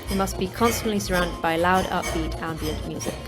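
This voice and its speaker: An actress playing a terse, unflappable manager of a secret facility in an audio drama, with a brisk, dry delivery. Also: female voice, fairly low pitch, over loud music, close to an everyday voice. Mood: brisk, matter-of-fact.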